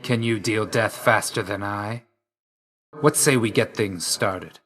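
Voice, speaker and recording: Deep voice, forceful bright edge, aloof young death god, clipped, solemn, dutiful, quietly wounded, video game character. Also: male voice, slow pace. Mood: cool, resolute.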